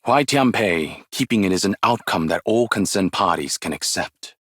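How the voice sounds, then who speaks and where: An actor playing a wise, weary, ageless martial arts master in a game, with measured, formal, poetic delivery and a husky voice. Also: male voice, deep voice, lively intonation, a bit bright, no room sound, studio quality, full character voice. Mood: calm, philosophical. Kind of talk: scripted game character voice lines, solemn and poetic.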